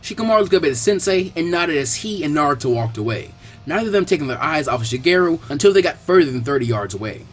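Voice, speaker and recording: Guy reading fanfic narration, pretty fast, music in the background. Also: a little high, animated. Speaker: fan fiction narrator. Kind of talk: scripted story narration.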